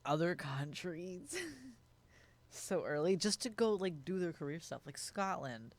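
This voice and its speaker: A man co-hosting a podcast who works as an editor, chatting in an animated, emphatic way with quick asides. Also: fairly high pitch. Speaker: podcast co-host and video editor. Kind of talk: casual chat.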